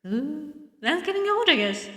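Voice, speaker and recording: A woman voicing a chatty, jovial local man in a detective visual novel, with an animated, teasing manner. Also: high-pitched, moderate pace, pitched above the performer's own voice. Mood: cheerful, teasing. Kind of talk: visual novel character acting. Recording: distant mic, echoey reverberant sound.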